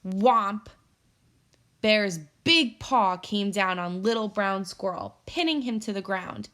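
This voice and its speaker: A woman's voice: mid-range voice, takes it slowly, fairly bright tone. Woman reading a children's story aloud. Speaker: teacher reading aloud to pupils.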